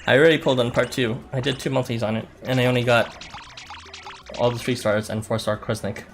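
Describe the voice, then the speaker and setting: Clear music plays behind a man streaming a game. He talks casually and offhandedly at a middling pitch, chatting through his moves as he goes, with the odd mild swear. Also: fairly even intonation, brisk. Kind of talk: casual live gameplay commentary. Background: background music.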